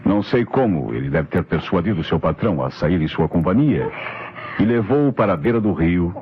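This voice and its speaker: An actor playing a shrewd, methodical police inspector with a Brazilian accent, deliberate and authoritative in old radio mystery style. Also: male voice, deep voice, lively intonation, a crowd in the background. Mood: explaining, probing. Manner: deliberate, reasoning aloud, authoritative. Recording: noisy, muffled old radio recording.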